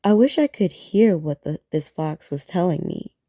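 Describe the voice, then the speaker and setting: A woman's voice: lowish voice, expressive, normal speed, warm tone, dry recording, clean studio sound. A woman voicing a proud, lonely female crow in a bedtime story, talking to herself boastfully. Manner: wistful, then defiant and boastful.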